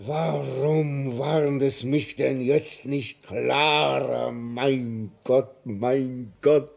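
An actor playing a tormented, fearful railway signalman in a radio play, speaking in an agitated, halting way. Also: medium pitch, fairly even intonation, gravelly, full character voice. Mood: anguished. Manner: agitated, halting, swinging from whispers to desperate outbursts. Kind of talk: German radio play acting.

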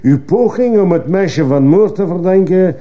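A man's voice: sits high, expressive, normal speed, dark tone. A man playing a stern, probing judge who fires insistent questions in a radio courtroom drama. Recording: muffled, hissy old radio play recording.

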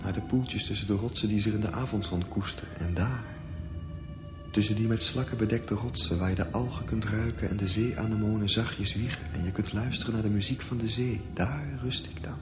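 A man's voice: lowish voice, natural voice. Over prominent music on a dull radio-play recording, a man plays a dreamy, defiant young swimmer. He muses poetically, as if thinking aloud, in an inner monologue. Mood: proud, dreamy. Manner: poetic, musing.

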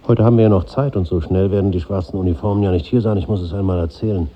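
An actor playing a weary, resigned married man in a 1950s German radio drama, speaking in a measured, reflective way. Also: low-pitched, fairly even intonation. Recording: dull, noisy old radio recording.

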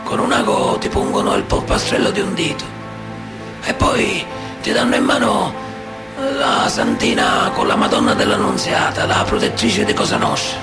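Gravelly, intense mafioso. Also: male voice, sits high, animated, moderate pace. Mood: solemn, ritual. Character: proud Sicilian mafia man of honour, ominous, contemptuous. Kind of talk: theatrical radio monologue. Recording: music behind the voice.

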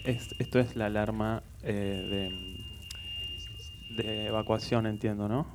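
A man's voice: on the low side, steady tone. A man hosting live radio, speaking in a hesitant, unscripted way in a room with ambient noise. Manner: hesitant, halting. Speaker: radio host. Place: live radio session in a building.

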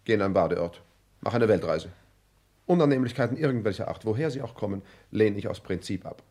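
A foppish, idle heir in 1960s radio drama style. His voice is on the low side and husky, with swooping intonation and an affected, blasé delivery. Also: lively intonation, fairly quick, dark tone. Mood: haughty, indignant. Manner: affected, blasé, drops foreign phrases. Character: foppish, idle heir son, self-absorbed dandy. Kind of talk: 1960s German radio play acting.

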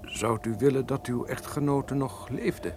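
A gruff, insolent henchman played by a man in a mid-pitched voice. He is curt and cold, with a theatrical edge and a dark secret. It sounds like an old-fashioned radio play with faint noise. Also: fairly even intonation, unhurried, natural voice. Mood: quietly probing. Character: gruff, surly henchman hiding a murderous secret.

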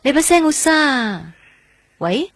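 An actress playing a dreamy, gently stubborn young female patient in a radio drama. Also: high voice, big pitch swings, slow pace, no echo, clean studio sound, soft background music, heavily acted. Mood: tentative, concerned. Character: young woman recovering from heart transplant, dreamy, gently stubborn. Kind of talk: Cantonese radio drama acting with first-person narration.

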